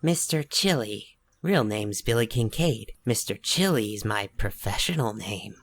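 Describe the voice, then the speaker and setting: A man playing a cynical, hard-bitten dead man who narrates a comic dub in a husky, world-weary, folksy way. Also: on the low side, animated, measured pace, a bit bright, slight background noise. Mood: sardonic, wary.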